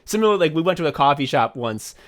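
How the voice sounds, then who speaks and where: A man's voice: a little high, sing-song, very fast, a bit bright. A man co-hosting a podcast, chatting casually and telling rambling, profane behind-the-scenes stories with lots of restarts. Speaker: podcast co-host.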